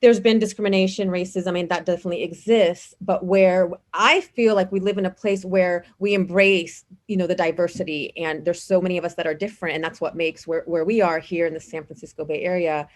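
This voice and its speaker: A woman's voice: on the low side, steady tone, brisk, hardly any pauses. A woman hosting a podcast, talking in a reflective, conversational way. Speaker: podcast host. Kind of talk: casual interview chat.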